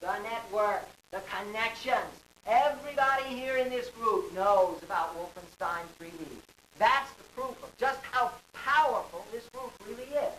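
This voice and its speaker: A man's voice: extremely high pitch, a little slow, pushed and bright, distant mic. A man in his forties giving a theatrical conference talk, with dramatic, emphatic phrasing and rhetorical questions. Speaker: conference speaker, game designer. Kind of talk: theatrical lecture to an audience. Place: carpeted hotel conference room. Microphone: distant camera microphone. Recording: hissy, echoey camcorder recording from afar.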